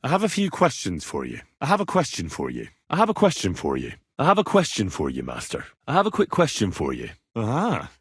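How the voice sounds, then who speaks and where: Casting: earnest young medieval retainer, slightly rough voice, expressive delivery, conversational game dialogue, close dry sound. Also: male voice, on the low side, brisk, few pauses, no room sound, heavily acted. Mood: polite, inquisitive. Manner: colloquial, conversational, inquisitive. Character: earnest, plain-spoken young medieval man in a lord's service. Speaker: video game protagonist. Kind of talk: naturalistic game dialogue acting.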